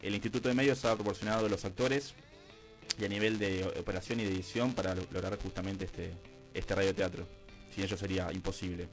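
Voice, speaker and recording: A man with an Argentine accent, a guest in a radio interview, explaining things in a measured conversational way. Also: deep voice, steady tone, soft background music, low-quality recording. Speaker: radio drama producer and guest.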